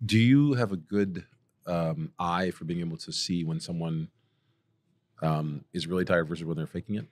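Deep-voiced chatty interviewer man. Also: moderate pace, darkish tone, no room sound. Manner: chatty, joking, enthusiastic. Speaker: podcast interviewer. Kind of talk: casual interview.

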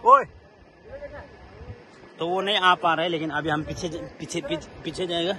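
A man's voice: fairly high pitch, steady tone, moderate pace, bright, forceful tone. A young man in his twenties chatting casually into his phone camera, narrating as he climbs a rocky hilltop outdoors. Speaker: vlogger.

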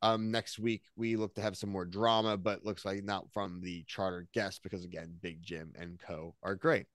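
Casual American male co-host, 30s, smooth lowish voice, dry close sound, slangy and opinionated. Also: flat delivery, moderate pace, fairly bright tone, no room sound. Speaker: podcast co-host. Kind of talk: casual TV recap chat. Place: small home room, on a video call.

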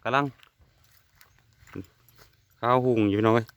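A man's voice: unhurried, fairly bright tone, dry recording. A man with an Isan Thai accent chatting casually to viewers in a vlog, outdoors among rural trees. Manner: chatty, keeps calling out to viewers. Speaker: vlogger.

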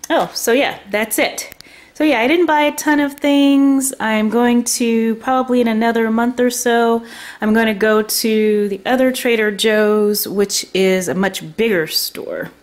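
A woman vlogger casually talking through her grocery haul at home, unhurried with frequent ums. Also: on the high side, steady tone. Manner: chatty, unhurried, many ums. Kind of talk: casual food haul narration. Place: home kitchen table.

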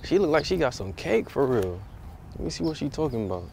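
A man from Trinidad hosting a prank video, flirting and teasing in quick, slangy casual talk on a street and then inside a car. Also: a little high, big pitch swings, gravelly, darkish tone, slight background noise.

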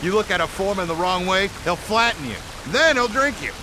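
A man voicing a bossy, dismissive henchman in a video game, griping gruffly and jittery. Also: high-pitched, expressive, brisk, background noise. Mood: irritated.

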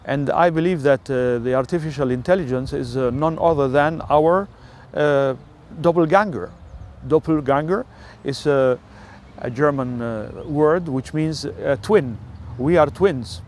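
Older Italian-accented man, halting delivery. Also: a little high, darkish tone, a little hiss or hum. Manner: halting, many filler sounds. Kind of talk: rambling personal monologue.

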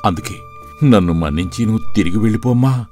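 A man acts a devout, humble temple priest in a storybook cartoon, respectful and pleading. The voice is fairly low and very animated in pitch, with music underneath. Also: fast talker.

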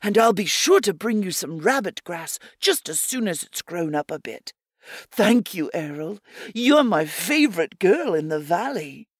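A sly little male rabbit, put on by a woman reading aloud, meek and sweetly polite as he fawns over a gift. His voice rises and falls freely, drawn out and gentle, and the sound is close and dry. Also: lowish voice, big pitch swings, unhurried, dry recording. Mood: grateful. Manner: polite, ingratiating, soft-spoken.

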